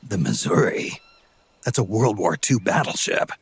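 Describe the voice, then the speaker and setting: An aging soldier for a game cutscene. His voice is low and heavily rasped, full of gravel. He speaks in terse, dry, skeptical phrases, weary of the world. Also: expressive, a little slow, dry recording, exaggerated voice. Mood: dry, curious. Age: older. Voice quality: gravelly, husky rasp. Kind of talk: video game cutscene acting.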